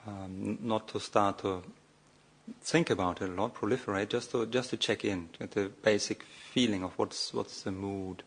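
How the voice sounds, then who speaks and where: Man, lowish voice, guided meditation, measured delivery, hesitant phrasing, long pauses. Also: fairly even intonation, breathy edge. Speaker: Buddhist monk leading guided meditation. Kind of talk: guided meditation talk, spoken off the cuff.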